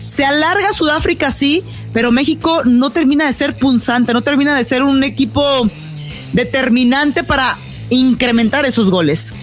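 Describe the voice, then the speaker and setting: A woman's voice: sits high, moderate pace, soft background music. A woman giving football match analysis as a sports analyst, in emphatic declarative phrases.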